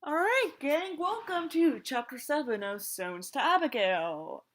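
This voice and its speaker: A woman with a fairly high, very bright voice reads a book aloud in a theatrical, sardonic way. Her pitch swings freely as she moves between narration and profane, mocking commentary. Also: very animated, a little slow, faint music behind. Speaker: audiobook reader and commentator. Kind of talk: dramatic book reading with sarcastic, profane commentary.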